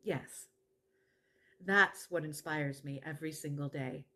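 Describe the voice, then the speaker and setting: A middle-aged actress playing an earnest woman who helps abuse victims, speaking deliberately in a testimonial monologue with emphatic pauses. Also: deep voice, bright, forceful tone, exaggerated voice. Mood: earnest, impassioned. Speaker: actor in a dramatized narrative. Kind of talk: dramatized personal testimonial monologue to camera. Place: living room at home.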